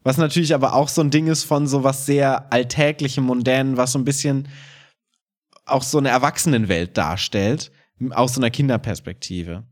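Ein Mann moderiert einen Podcast mit und erklärt dabei locker und umgangssprachlich. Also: male voice, medium pitch, steady tone, normal speed. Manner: informal, explanatory. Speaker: podcast co-host, improv performer. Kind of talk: casual conversational podcast talk.

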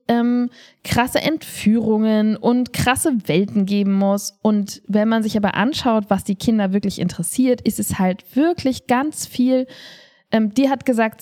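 Eine Frau in ihren Dreißigern spricht als Co-Moderatorin eines Podcasts locker, offen und nachdrücklich. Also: female voice, on the high side, a little mellow, no room sound, clean studio sound. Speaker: podcast co-host, improv performer. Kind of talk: casual conversational podcast talk.

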